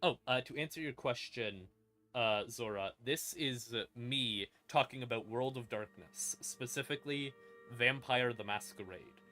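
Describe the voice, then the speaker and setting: A man with a bright, forceful voice talks like a casual streamer walking viewers through lore. He is informal and emphatic, with swearing mixed in. His pitch sits in an ordinary middle range, and ambient music plays behind him. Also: a little slow. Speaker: live streamer, host. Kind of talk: casual lore explainer on a livestream. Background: creepy ambient music.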